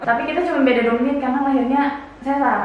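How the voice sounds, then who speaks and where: Hesitant soft-spoken woman from jakarta, lots of ums, echoey room, bit of noise. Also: high-pitched, steady tone, racing, narrow, tinny audio. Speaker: guest. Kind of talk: casual interview answers.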